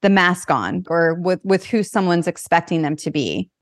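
A woman's voice: on the low side, sing-song, no room sound, clean studio sound. A woman hosting a podcast, chatty and enthusiastic as she talks with her guest. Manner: enthusiastic, chatty, quick laughs. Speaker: podcast host. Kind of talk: podcast interview.

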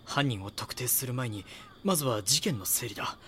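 An actor playing a young magazine reporter in an audio drama, polite, earnest and persistent. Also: male voice, mid-range voice, lively intonation, moderate pace, a bit bright, a little hiss or hum, close to an everyday voice. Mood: pensive. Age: young adult. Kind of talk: audio drama acting with inner monologue.

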